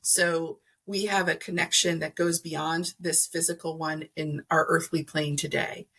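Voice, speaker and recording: A woman's voice: low voice, level tone, a little slow, bright, forceful tone, slightly rough. A middle-aged woman explaining things conversationally as an interview guest, earnest and emphatic, acting out imagined remarks. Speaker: podcast guest, energy healer.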